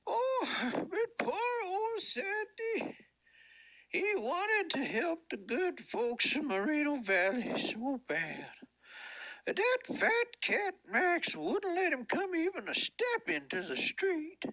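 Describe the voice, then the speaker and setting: A man's voice: very high-pitched, very animated, slow, bright, forceful tone, heavily acted, low-quality recording. A man voicing a rambling old frontier codger who narrates in an old-time radio western, with a wheezy, cackling drawl and a folksy, stumbling way of talking. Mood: earnest, sorrowful. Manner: rambling and folksy, trips over his words.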